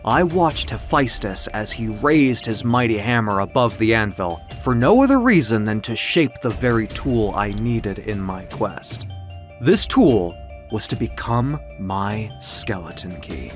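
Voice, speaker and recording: A man's voice: medium pitch, big pitch swings. A proud mythic Greek being, played by a man, recounts his quests in an audio drama. His delivery is grandiose and solemn, with sweeping intonation over music. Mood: grand, solemn. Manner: grandiose, solemn, measured storytelling.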